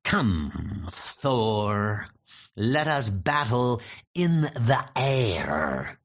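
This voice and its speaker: A man voicing a cunning, vengeful Norse god of mischief with theatrical, taunting, drawn-out delivery in a comic book dub. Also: fairly low pitch, big pitch swings, voice pushed down, full character voice. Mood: gloating, scheming.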